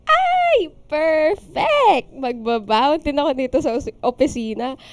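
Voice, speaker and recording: A woman's voice: high-pitched, sing-song, takes it slowly, no echo, heavily acted. A woman playing an affectionate, playful young wife in a radio drama, with music behind her. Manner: teasing, sweet. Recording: music under the voice.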